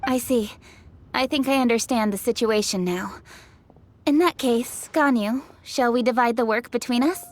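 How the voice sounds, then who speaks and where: A woman's voice: fairly high pitch, moderate pace, slight background noise, lightly acted. A woman playing a poised, businesslike young official in an anime video game, speaking formally and with composure. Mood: decisive. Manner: formal, crisp phrasing, composed. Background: music.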